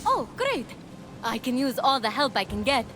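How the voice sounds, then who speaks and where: A woman's voice: a little high, sing-song, moderate pace, background noise. A woman voicing an earnest, plucky young heroine on a quest in a video game cutscene. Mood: eager, friendly.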